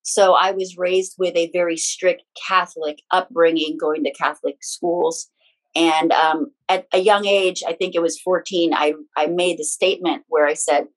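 A woman's voice: on the low side, rough recording. Chatty woman telling her story. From Philippines. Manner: chatty, frank, personal anecdotes with small laughs. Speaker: guest, spiritual teacher and author. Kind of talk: casual conversational storytelling.

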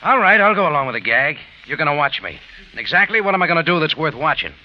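An actor playing a tough, sardonic American cafe owner in a hard-boiled 1950s radio drama. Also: male voice, fairly high pitch, sing-song, quick pace. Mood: sardonic, guarded. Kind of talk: 1950s radio acting and narration. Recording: muffled old radio recording, loud music.

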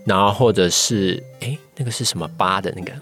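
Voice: sits low, brisk, fairly bright tone. Young Taiwanese podcast guest, careful and reflective in answering, music in the background. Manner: thoughtful, careful, qualifying. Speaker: guest, voice-acting trainee. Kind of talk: casual podcast interview answers.

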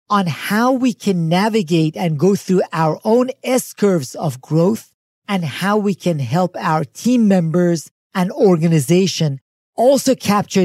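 A man's voice: high-pitched, a little mellow, dry recording. Male podcast host, close dry sound. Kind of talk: podcast introduction and interview.

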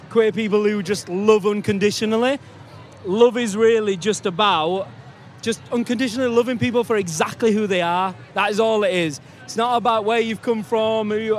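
A man's voice: high-pitched, slight background noise. A man at an outdoor festival giving an earnest, emphatic answer to an interviewer. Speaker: festival goer. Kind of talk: heartfelt vox-pop answer.

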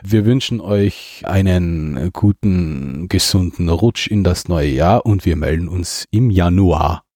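An Austrian man chats casually as a podcast co-host. His voice is fairly low and mellow, with a blunt, colloquial way of putting things. The recording is close and dry. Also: slow pace, no room sound. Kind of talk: casual chat.

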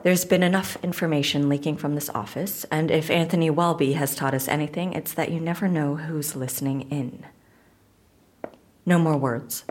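A woman's voice: low-pitched, steady tone, moderate pace, darkish tone, natural voice. A woman acting a no-nonsense, easily exasperated office boss in an audio drama, with a blunt, dry delivery. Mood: guarded, decisive.

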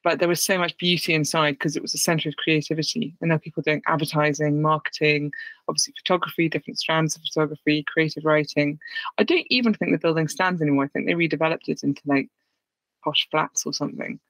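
A woman's voice: low-pitched, fairly even intonation, quick pace, fairly bright tone, no room sound, poor audio. British woman, 30s, chatty candid guest on a call, dry close sound. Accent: British English. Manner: candid, chatty, self-deprecating with laughs. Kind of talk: casual interview. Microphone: remote call.

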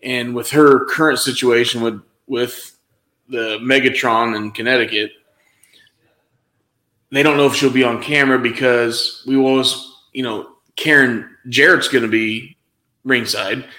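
Rambling male podcast co-host, mid pitch, recorded in a roomy echoey space. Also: steady tone, unhurried, bright, forceful tone. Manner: rambling, lots of fillers and restarts. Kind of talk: casual wrestling podcast chat.

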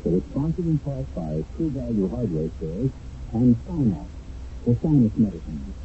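A man hosting a radio mystery series, delivering dramatic scripted narration in a measured, portentous way with deliberate pauses. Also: medium pitch, sing-song, slight background noise. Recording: muffled vintage radio broadcast, music.

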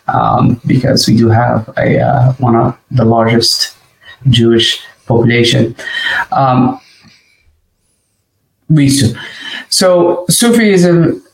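A man's voice: medium pitch, gravelly. A man with a Moroccan accent explaining things conversationally, often repeating and rephrasing himself as he goes. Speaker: podcast guest. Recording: roomy echo with background noise.

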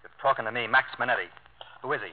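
A man's voice: medium pitch, fast talker, a little hiss or hum, lightly acted. An actor playing a proud, jealous, hard-boiled New York tough guy with clipped, sardonic lines in a 1950s radio drama. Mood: jealous, challenging. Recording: muffled vintage radio broadcast.

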